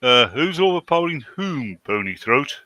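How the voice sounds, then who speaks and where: Bright-voiced man, playful and animated. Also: mid-range voice, normal speed, dry recording, clean studio sound. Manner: playful, mock-pedantic. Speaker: stream co-host. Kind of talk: casual comic banter.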